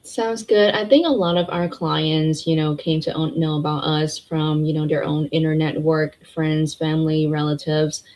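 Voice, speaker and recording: Woman host, deep voice, casual questions, plenty of filler words. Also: fairly even intonation, moderate pace, hardly any pauses, a little mellow, a little hiss or hum. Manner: friendly, filler-heavy questions. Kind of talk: live interview hosting.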